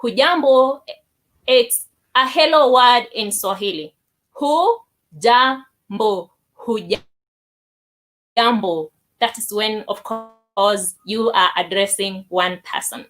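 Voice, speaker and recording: A woman giving a language lesson, deliberately repeating words for learners. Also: on the high side, fairly bright tone. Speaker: language teacher. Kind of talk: language lesson, demonstrating greetings.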